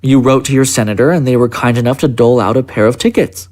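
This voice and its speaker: A man acts out a senior political aide in an audio drama. He is courteous and secretive, speaking in formal, measured phrases at a medium pitch. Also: darkish tone, natural voice. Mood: courteous, assured. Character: polite, secretive presidential chief of staff.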